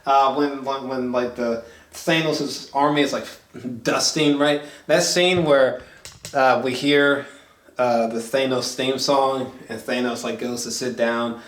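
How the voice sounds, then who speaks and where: Guy hosting a movie review, halting n rambly, swears, medium pitch, echoey room. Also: steady tone. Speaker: film review host. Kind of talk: casual movie review chat. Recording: roomy echo, distant from mic.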